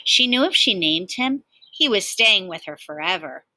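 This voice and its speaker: A woman reading a children's storybook aloud in an expressive, animated way, like a teacher talking to young listeners. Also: mid-range voice, normal speed, slight background noise, rough recording.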